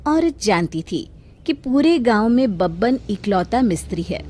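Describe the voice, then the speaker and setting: A woman's voice: on the high side, sing-song, unhurried, darkish tone. A woman storyteller narrating a scripted tale for children.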